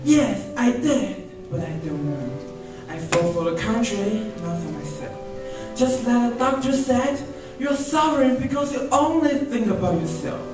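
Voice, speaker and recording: A woman's voice: a little high, sing-song, unhurried. A young woman playing an earnest, grateful war-veteran father in a student radio play, recorded in an echoey hall. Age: young adult. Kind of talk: student radio drama acting. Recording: very echoey hall, distant mic, music behind.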